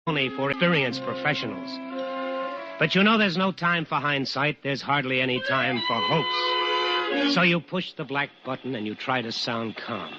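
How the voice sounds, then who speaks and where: An actor playing an earnest, commanding air traffic controller under pressure in an old-time radio drama, speaking firmly and deliberately. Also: male voice, medium pitch, close to an everyday voice. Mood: tense inner narration. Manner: deliberate, firm, instructing. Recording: noisy, muffled old radio recording.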